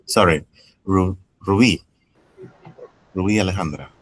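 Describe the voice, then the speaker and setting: Deep-voiced man calling on students. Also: a little slow. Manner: brief, calls names and thanks each student. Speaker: English teacher. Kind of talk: calling on students in an online class.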